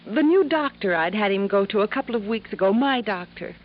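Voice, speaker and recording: A woman's voice: medium pitch, expressive, brisk, lo-fi sound, a little hiss or hum, natural voice. A woman playing an earnest young woman pleading for her uncle in a 1950s radio drama.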